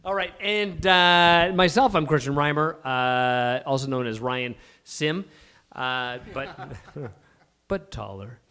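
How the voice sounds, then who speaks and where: A man's voice: mid-range voice, slow, pushed and bright, clear, resonant tone. A man hosting a live stage show, chatting casually and teasing his guests with frequent interjections. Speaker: host of live table read. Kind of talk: casual bantering chat on stage. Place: live stage in small venue.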